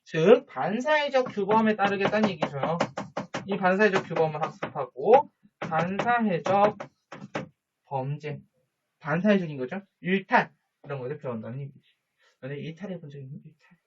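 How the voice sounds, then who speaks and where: Chatty male teacher, lively lo-fi lecture. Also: sits high, noisy background. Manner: chatty, jokey asides and quick quiz questions to students. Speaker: high school social studies teacher. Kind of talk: online lecture to students. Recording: dull lo-fi recording.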